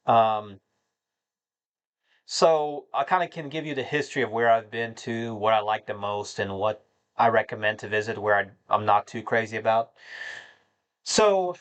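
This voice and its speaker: A man's voice: on the low side, moderate pace, pauses often, a bit bright. Rambling American man chatting on stream. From United States (North Carolina). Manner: rambling, filler-heavy, addresses chat as "man". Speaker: livestream host answering chat. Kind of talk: casual livestream chat.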